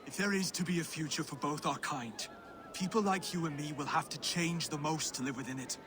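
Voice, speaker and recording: A man plays a gentle, idealistic Saxon king in a game cutscene. His voice sits fairly high, with formal, measured, pleading phrasing. Clear noise runs behind the dialogue. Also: close to an everyday voice. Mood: gentle, earnest. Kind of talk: video game cutscene acting.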